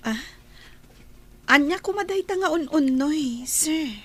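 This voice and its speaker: A woman's voice: high-pitched, lively intonation, slow, sharp tone, heavily acted. A woman playing a hesitant, demure young female employee in a Filipino radio drama. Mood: flustered, guarded.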